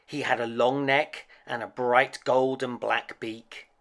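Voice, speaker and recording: A man reading a children's picture book aloud as a storyteller, with an expressive, deliberate delivery. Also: mid-range voice. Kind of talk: scripted children's story narration.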